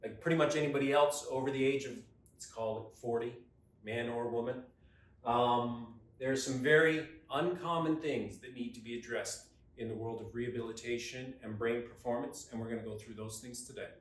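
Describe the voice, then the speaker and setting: A man's voice: mid-range voice, fairly even intonation, a little fast. A man coaching, explaining a lesson in a conversational way and stressing key words. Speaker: neuroperformance coach. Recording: roomy echo, mic at a distance.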